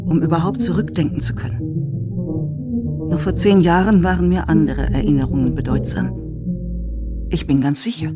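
A woman gives a literary reading within a radio drama. She is reflective and deliberate in her phrasing. Loud music lies behind the voice. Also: low-pitched. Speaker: reader of literary quotation. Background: prominent music.

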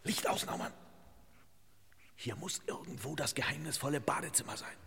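An actor playing a wry, pompous master detective in an old radio crime comedy, in clipped telegram phrases with mock-gallant courtesy. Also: male voice, fairly high pitch, normal speed, vocal fry, pushed and bright, husky, exaggerated voice. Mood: hushed, cautious. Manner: clipped telegram phrasing, dropping pronouns, mock-solemn sayings. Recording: reverberant old radio play, faint hiss.

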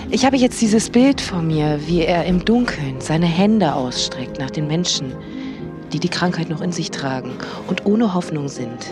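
An actress in a radio drama playing a sentimental female TV reporter, solemn and full of pathos, with coaxing asides. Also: on the low side. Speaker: media presenter.

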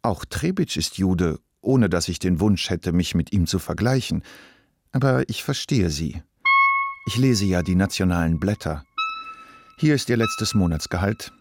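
A man plays a wealthy businessman in a radio drama, composed and ironic. The voice is low, with supple, varied intonation and a deliberate, calm manner. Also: faint music behind, heavily acted. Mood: wry, composed.

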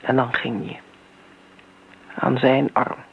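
Earnest, slow-spoken grown daughter. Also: female voice, a bass voice, little pitch movement, gravelly, heavily acted. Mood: coaxing. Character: devoted grown daughter, earnest and insistently admiring. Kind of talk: radio drama acting. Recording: tinny, noisy old radio recording.

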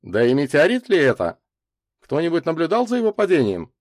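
Man acting excitable old professor, high-pitched and lively. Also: dry recording, studio quality. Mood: puzzled. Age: older. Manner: excitable, hurried, questioning. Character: thick-moustached stocky old professor, brisk, fussy meteorite expert.

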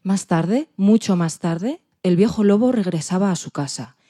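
A woman's voice: medium pitch, moderate pace, mellow. A woman with an accent from Spain, narrating expressively as a podcast storyteller. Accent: Spanish (Spain).

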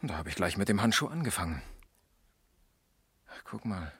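An actor playing an eager, earnest junior police detective who thinks aloud, in a radio crime drama from the 1970s. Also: male voice, low voice, big pitch swings, normal speed, fairly bright tone, breathy edge, no room sound, studio quality, heavily acted. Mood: eager, intent.

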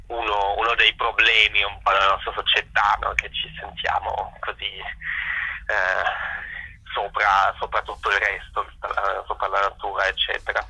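A man's voice: fairly low pitch, bright, forceful tone, dry recording, rough recording. A man giving informal, spontaneous interview answers as an event organizer, hesitantly searching for his words.

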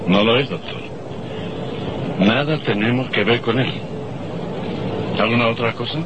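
An actor playing a brisk, authoritative Air Force commander with a Chilean accent in an old radio drama. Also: male voice, medium pitch, natural voice. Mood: curt, dismissive. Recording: muffled old radio recording, heavy noise.

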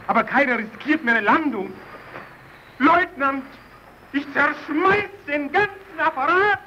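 High-pitched, desperate stranded radio operator. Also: male voice, unhurried, sharp tone, exaggerated voice. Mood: bitter, furious. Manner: theatrical, agitated, bitter. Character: desperate, defiant Italian radio operator stranded on Arctic ice. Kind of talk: old German radio play acting. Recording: muffled, very noisy old radio recording.

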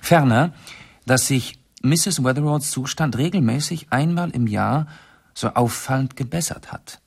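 An actor playing a shrewd English gentleman detective in a 1970s radio play, speaking in a deliberate, analytical and urbane manner. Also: medium pitch, creaky, slightly rough. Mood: analytical, composed. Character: shrewd English gentleman detective, methodically explaining his deductions.

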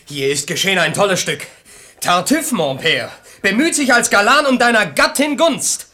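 Bright-voiced, hot-headed young son. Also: male voice, sits high, moderate pace. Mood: accusing. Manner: vehement, declaiming in verse. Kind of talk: German radio play of classical verse comedy.

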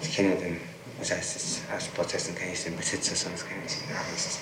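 A man's voice: deep voice, completely flat delivery, fairly quick. A man questioning someone in a recorded interrogation, probing and pressing for dates and details, on an old tape. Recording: echoey, noisy 1960s tape recording.

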